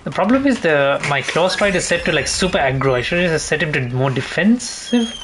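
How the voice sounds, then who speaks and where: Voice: medium pitch, big pitch swings, a little fast, noisy background. A gamer giving casual, informal play-by-play commentary, thinking aloud and swearing mildly now and then. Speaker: gameplay streamer.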